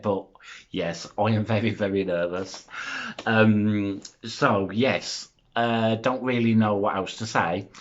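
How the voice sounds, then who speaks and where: A man in his thirties, chatty and self-deprecating, who rambles through a vlog with plenty of ums and uhs. His voice sits very low, and the recording sounds a bit dull and lo-fi. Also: fairly bright tone. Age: 30s. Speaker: YouTuber. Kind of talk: casual vlog chat.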